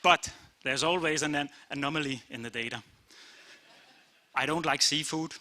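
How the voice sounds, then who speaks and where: A man's voice: on the high side, normal speed, noisy background. A middle-aged man with a Danish accent, a company CEO giving a short talk at an event, plain-spoken with frequent "uh" and "basically". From Denmark. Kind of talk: short conference speech. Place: event room.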